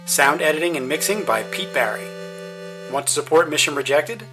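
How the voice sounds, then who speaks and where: A man's voice: a little high, animated, moderate pace, poor audio. Man reading show credits over guitar music. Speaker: credits announcer. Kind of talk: scripted credits narration with tongue-in-cheek patron pitch. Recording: music behind the voice. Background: plucked guitar music.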